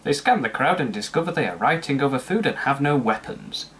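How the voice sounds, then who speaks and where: Lively sarcastic male film reviewer. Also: mid-range voice, fairly quick, a little hiss or hum. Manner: sarcastic, mocking asides. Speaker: movie reviewer. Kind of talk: comedic scripted film-review narration.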